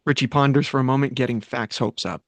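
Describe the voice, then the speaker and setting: A man reading screenplay stage directions aloud at a table read, in a plain, quick manner. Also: medium pitch, no room sound.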